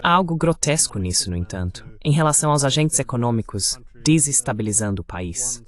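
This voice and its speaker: A man's voice: medium pitch, animated, fairly quick. A man with a Brazilian accent hosting an interview in a translated voice-over. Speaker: interview host.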